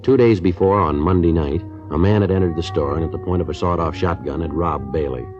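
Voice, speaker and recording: An actor playing a terse police detective, deadpan and matter-of-fact, in a 1950s radio crime drama. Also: male voice, sits low, fast talker, soft background music, lightly acted. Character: terse, businesslike male police detective sergeant narrating. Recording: dull vintage radio recording.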